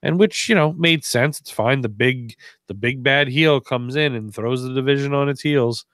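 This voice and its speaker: A man's voice: medium pitch, moderate pace, fairly bright tone, no echo, studio quality. A man co-hosting a podcast in casual, sarcastic, rambling banter with plenty of swearing. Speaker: wrestling podcast co-host.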